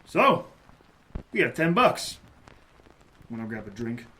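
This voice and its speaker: A man's voice: mid-range voice, big pitch swings, takes it slowly, sharp tone, exaggerated voice, rough recording. A man playing a blunt, impatient private investigator in a noir audio drama, speaking in curt tough-guy lines. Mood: gruff. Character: hard-boiled male private eye, blunt and impatient. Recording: music playing behind the voice. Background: music.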